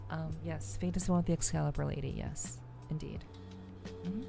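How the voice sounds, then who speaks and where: A woman's voice: low voice, fairly even intonation, fairly quick, gravelly, over music. A woman streamer chatting casually to her live audience, thinking aloud and often repeating her words. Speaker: VTuber streamer. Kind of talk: casual livestream chat.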